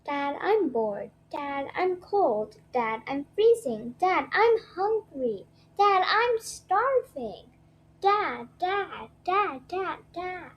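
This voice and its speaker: A woman's voice: very high-pitched, sing-song, drawn-out words, dull audio, pitched above the performer's own voice, full character voice. A woman voicing a playful, impatient young puppy girl from a storybook, in a childlike, whiny way. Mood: whining.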